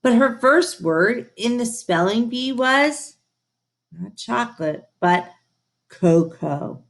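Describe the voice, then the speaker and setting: A woman reading a children's story aloud in an expressive, emphatic, teacherly way, with asides to her listeners. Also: on the high side, slow pace. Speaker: storybook reader.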